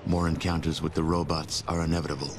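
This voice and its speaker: An actor playing a solemn, measured war chronicler narrating a post-apocalyptic game. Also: male voice, a bass voice, flat delivery, background noise. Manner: grave, measured storyteller delivery. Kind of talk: scripted game cutscene narration. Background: music.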